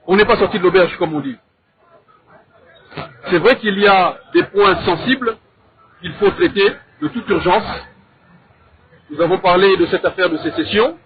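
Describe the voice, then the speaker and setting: A man with a Congolese accent debating in a measured, didactic way, in short deliberate phrases and with rhetorical questions. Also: high voice, slight background noise. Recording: thin, phone-like narrow sound.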